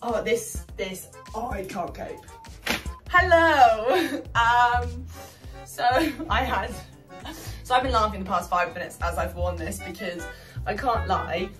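A young woman chatting and giggling about an outfit she is trying on, talking to herself in a lively way. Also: medium pitch, slow pace. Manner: giggly, animated self-talk. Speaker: lingerie reviewer. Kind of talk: casual try-on chat. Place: bright bedroom indoors. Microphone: camera across the room. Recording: echoey room, distant mic, music behind.

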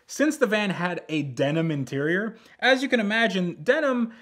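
A man's voice: high-pitched, expressive, moderate pace, fairly bright tone. A young man telling a personal car story in a casual, wry, understated way. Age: young adult. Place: indoor decorated studio set, seated.

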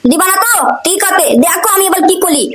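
A stubborn little cartoon boy played by a woman speaks in a very high voice on a noisy soundtrack. He is bratty and demanding, pushing insistently with every line. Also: fast. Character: stubborn, demanding young son. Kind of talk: exaggerated comedy cartoon acting.

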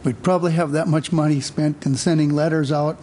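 A man speaking as a city councilmember at a council meeting, measured and hesitant with frequent fillers. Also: a little high, fairly even intonation, mellow, a little hiss or hum.

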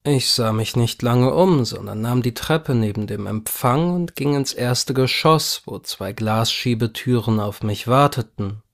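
A man's voice: mid-range voice, measured pace, gravelly, few pauses. A man reading a first-person horror story as a scripted audiobook narration.

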